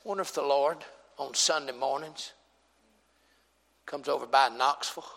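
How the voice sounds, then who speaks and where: A man in his forties preaching a humorous sermon, teasing the congregation and building up to shouting. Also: mid-range voice, normal speed, gravelly, big-room sound. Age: 40s. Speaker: pastor. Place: church sanctuary.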